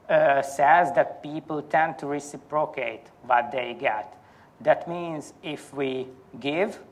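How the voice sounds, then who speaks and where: A man in his thirties giving a formal academic presentation in a halting, deliberate manner. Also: medium pitch. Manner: halting, careful, deliberate delivery. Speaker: academic conference speaker. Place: indoor university lecture hall.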